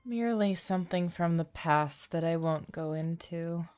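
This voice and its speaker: A woman reading a game's lines as a reserved, stoic young male traveller, deadpan and measured. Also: lowish voice, clean tone, soft background music, natural voice. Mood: reserved, dry. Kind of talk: reading video game dialogue aloud.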